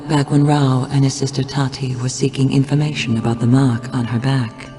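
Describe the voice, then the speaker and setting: Male actor as grave game oracle, commanding seer, medium pitch, portentous formal narration, solemn, music underneath. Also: flat delivery, moderate pace, natural voice. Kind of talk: scripted narration. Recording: music behind the voice.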